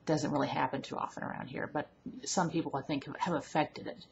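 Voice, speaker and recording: A woman's voice: deep voice, fairly even intonation, a little fast, creaky. A woman in her thirties from Alabama with a mild Southern accent, chatting candidly to a webcam at home and often correcting herself mid-sentence. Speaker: vlogger. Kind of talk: casual talk to camera. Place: at home indoors. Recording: dull lo-fi webcam audio with faint hiss.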